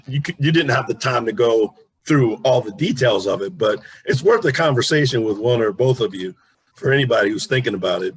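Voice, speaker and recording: A man's voice: mid-range voice, breathy edge, poor audio. A man hosting an interview in a casual, conversational style. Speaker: interviewer, host.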